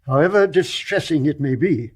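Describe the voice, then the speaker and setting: An actor playing a courteous, cautious English major in a period radio drama, hesitant and politely measured, with slight stammers. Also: male voice, a little high, expressive, a little mellow, slightly rough. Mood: grave. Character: courteous, cautious retired-officer gentleman, gently reasoning family friend. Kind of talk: 1920s stage play as radio drama.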